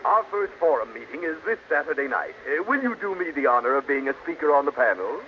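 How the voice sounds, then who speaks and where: Stuffy civic bigwig for radio comedy: higher-set voice, hemming formality, faintly noisy old broadcast. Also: male voice, very animated, fast. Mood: flattered. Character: stuffy civic-minded gentleman, easily charmed by flattery. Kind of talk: old-time radio sitcom acting. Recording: muffled old radio recording, faint noise.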